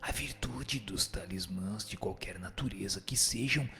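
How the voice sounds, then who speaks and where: Gravelly, low-voiced male spirit teacher, acted by a man. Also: unhurried, pushed and bright, full character voice. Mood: solemn, instructive. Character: male spirit teacher answering questions, calm, firm, didactic. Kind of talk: scripted dramatized reading of a spiritist book. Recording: music bed under the voice.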